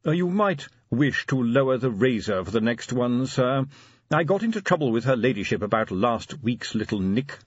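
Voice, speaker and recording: A man plays a deferential audiobook butler who never loses composure. The delivery is precise and politely dry, with very varied pitch and a husky edge. The recording is close and dry. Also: very animated, moderate pace, no echo, dull audio. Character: deferential, unflappable, formal male butler. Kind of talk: audiobook character acting.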